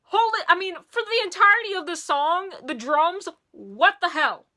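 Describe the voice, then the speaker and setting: High, bright-voiced woman gushing about music. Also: normal speed. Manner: gushing and excitable, with frequent restarts. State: losing her voice. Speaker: music reviewer and video host. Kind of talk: casual song review to camera.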